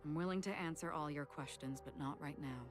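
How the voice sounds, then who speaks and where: Woman as low, solemn swordswoman, calm and formal. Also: natural voice. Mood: calm, earnest. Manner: measured, formal, polite. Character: calm, solemn, mysterious exiled swordswoman in a space fantasy. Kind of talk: scripted game voice acting. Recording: music behind the voice.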